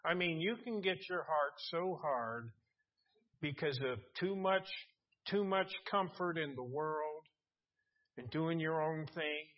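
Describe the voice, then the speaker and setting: A man's voice: fairly high pitch, takes it slowly. A man in his seventies preaching a sermon, earnestly reading old scripture verses aloud with frequent restarts. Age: 70s. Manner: earnest, reads King James verses aloud, many restarts. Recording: dull lo-fi recording.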